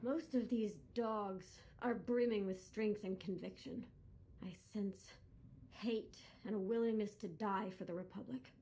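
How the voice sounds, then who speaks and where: A woman's voice: medium pitch, steady tone, unhurried, warm tone, a little hiss or hum, natural voice. An actress voicing a devoted, eager and menacing young female Sith apprentice in a video game. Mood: sinister, savoring.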